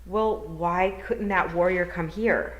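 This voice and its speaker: A woman's voice: on the low side, slow, fairly bright tone, dull audio, pitched above the performer's own voice. Lady voicing a stubborn young girl from a storybook, asks straight questions, echoey room. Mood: questioning.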